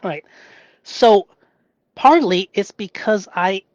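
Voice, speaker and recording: Slow soft-spoken guy from hong kong, cantonese first language, halting and searching for words, dry close sound. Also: sits high, animated, dry recording, muffled recording. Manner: halting, searching for words, often asks "right?". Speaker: vlogger. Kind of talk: reflective personal monologue.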